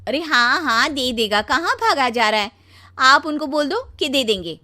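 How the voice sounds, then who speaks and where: A woman's voice: high voice, lively intonation, moderate pace, fairly bright tone, pitched above the performer's own voice. A woman voicing a demanding, insistent wife in a dramatised story, nagging and emphatic. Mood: dismissive. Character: demanding, insistent young wife of a tired husband. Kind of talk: dramatised Hindi audio story.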